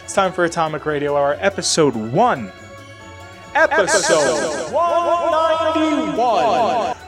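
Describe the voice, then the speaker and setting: A man's voice: high voice, big pitch swings, measured pace, music in the background. A young man hosting his own podcast in a rambling, casual way, a little congested from a sinus infection. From United States. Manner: rambling, chatty, many fillers and restarts. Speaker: podcast host. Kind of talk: casual solo chat.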